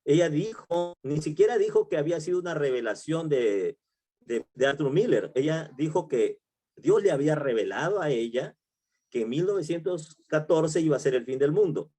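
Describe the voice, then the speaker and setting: A man's voice: fairly high pitch, expressive, moderate pace, warm tone. A middle-aged man with a Peruvian Spanish accent, teaching a Bible study in a conversational way, with many fillers, restarts and tag questions. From Peru. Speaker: pastor.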